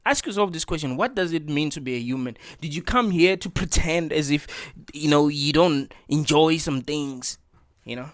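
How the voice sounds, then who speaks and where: A man's voice: fairly high pitch, moderate pace, no room sound, clean studio sound. A man hosting a reaction video, talking in an earnest, preachy way and repeating his points with lots of filler words. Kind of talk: casual opinion monologue.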